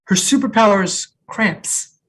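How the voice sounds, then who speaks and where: A woman playing a friendly, easygoing young cafe customer in a comedy table read, low-key and a little hesitant. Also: a little slow, natural voice, rough recording. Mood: relaxed, friendly.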